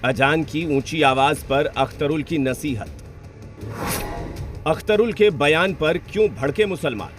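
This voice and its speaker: An Indian man narrates a scripted news report as a reporter. His voice sits a little high, with music running behind it. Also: lively intonation, a little slow.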